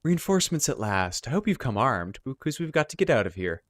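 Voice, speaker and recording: A man's voice: medium pitch, very animated, brisk, dry recording, studio quality, natural voice. A man voicing an urgent ghostly woman as he reads fantasy game dialogue aloud. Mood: urgent relief. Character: urgent ghostly woman awaiting armed reinforcements. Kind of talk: let's player reading game dialogue.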